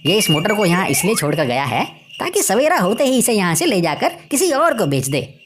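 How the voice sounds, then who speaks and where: A woman voicing a naive, eager village man in an animated story, exclamatory and deferential to a friend. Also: medium pitch, fairly quick, noisy background, close to an everyday voice. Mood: amazed. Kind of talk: animated Hindi moral story dialogue. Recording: music behind the voice.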